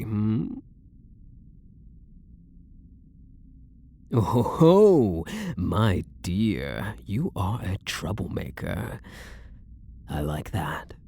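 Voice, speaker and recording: A man's voice: on the low side, darkish tone, slight background noise, heavily acted. A man playing a possessive, lovestruck elf prisoner in an intimate roleplay, teasing and theatrical, with doting endearments. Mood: teasing, flirtatious. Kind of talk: intimate ASMR roleplay acting.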